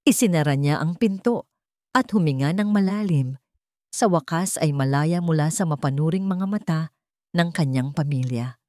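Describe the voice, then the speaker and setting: Female story narrator, Filipino accent, low register, dry recording, scripted dramatic delivery. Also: animated, normal speed, warm tone, dry recording.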